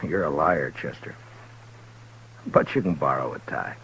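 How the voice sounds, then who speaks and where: A man playing a stern, weary but fair Old West marshal in a radio western, speaking tersely and deliberately. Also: rumbling low, gravelly, a bit bright, slight background noise, full character voice. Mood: terse, patient. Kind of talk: old-time radio western drama acting and narration. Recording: dull vintage radio recording with music.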